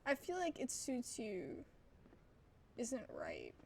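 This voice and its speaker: A woman's voice: fairly high pitch, wildly swooping pitch, takes it slowly, gravelly, darkish tone. A woman game streamer giving casual, chatty commentary, thinking aloud informally over faint background noise. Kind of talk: casual let's-play commentary.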